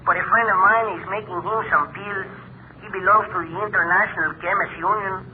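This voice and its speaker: An actor playing an amiable, lovestruck farmhand with a Mexican accent and florid broken English in a 1940s radio comedy. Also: male voice, sits high, steady tone, brisk, lightly acted. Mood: playful, apologetic. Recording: tinny, hissy 1940s radio recording.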